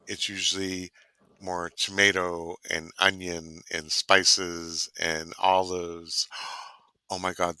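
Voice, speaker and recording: A man's voice: low-pitched, sharp tone, clear, resonant tone, no room sound. A man from Canada co-hosting a casual online cooking chat, rambling and savoring his words. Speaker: co-host.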